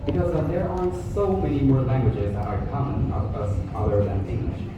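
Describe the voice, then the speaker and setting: A man's voice: medium pitch, fairly even intonation, fairly quick. A teenage boy, answering contest questions candidly with many "you know" fillers. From Vietnam. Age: teens. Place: large echoey school hall. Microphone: far from the microphone. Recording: tinny, echoey hall from far off, hiss.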